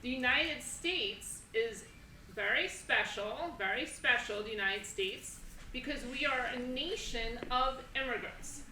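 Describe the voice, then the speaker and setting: Distant echoey hall, woman moderator, bright forceful voice, deliberate, repeats phrases, educational talk. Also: fairly high pitch. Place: school assembly, speakers on a stage. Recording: echoey hall from afar, faint noise.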